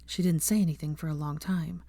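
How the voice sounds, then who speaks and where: A woman reading fiction aloud as an audiobook narrator, in an expressive scripted style. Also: low voice, a little fast, dry recording.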